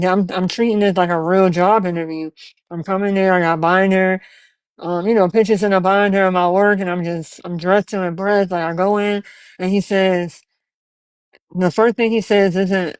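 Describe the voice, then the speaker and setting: Smooth-voiced man in his twenties hosting. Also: high voice, no room sound. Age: 20s. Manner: earnest, storytelling, encouraging. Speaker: podcast host, barber. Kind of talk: casual interview chat.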